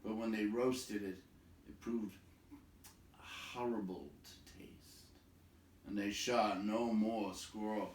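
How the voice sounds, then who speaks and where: Slow, deep-voiced man reading in an echoey room. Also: steady tone, a little mellow, slight background noise. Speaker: storybook reader. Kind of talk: dramatic read-aloud narration of a fantasy novel. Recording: roomy echo, distant microphone.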